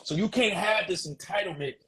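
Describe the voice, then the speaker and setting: A man's voice: high voice, brisk, sharp tone, husky, poor audio. A man in his forties talking casually and emphatically on a podcast, repeating phrases and using street slang. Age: 40s. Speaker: guest.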